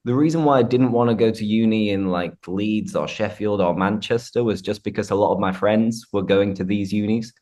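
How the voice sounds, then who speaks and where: A man's voice: fairly low pitch, brisk, hardly any pauses, darkish tone. A man from Yorkshire with a Northern English accent, hosting a casual conversation in an informal, explanatory way. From Yorkshire, England. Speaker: host, English teacher. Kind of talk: casual chat with explanations of slang.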